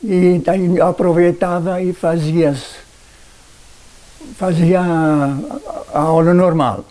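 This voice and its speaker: An elderly man from southern Brazil, with the accent of that region, shares memories as a retired schoolteacher. His voice is soft-edged and somewhat high for a man. He speaks slowly and haltingly. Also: fairly even intonation. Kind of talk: personal recollection for a documentary.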